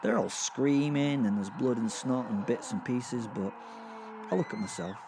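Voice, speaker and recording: A man's voice: mid-range voice, normal speed, creaky, noisy background, close to an everyday voice. A man playing a sadistic, gleeful werewolf killer, narrating a horror audio drama in a rambling, conversational way full of hesitant, amused understatement. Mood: amused, matter-of-fact.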